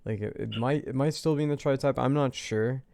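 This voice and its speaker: A man's voice: medium pitch, brisk, vocal fry, darkish tone, no room sound, studio quality. A man hosting a casual typing session, tentative and hesitant as he thinks aloud. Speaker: host of a personality typing session. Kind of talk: casual chat.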